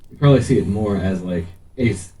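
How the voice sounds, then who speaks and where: Male game master, lower-pitched, halting improvised narration, recorded off-mic in an echoey room. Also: warm tone, recorded from a distance, a little hiss or hum.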